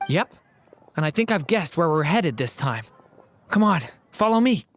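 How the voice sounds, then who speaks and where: An actor playing a gentle, earnest young dragon boy in human form in a video game, polite and a little hesitant. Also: medium pitch, big pitch swings, moderate pace, slight background noise, close to an everyday voice. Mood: gentle, resolved. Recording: music behind the voice.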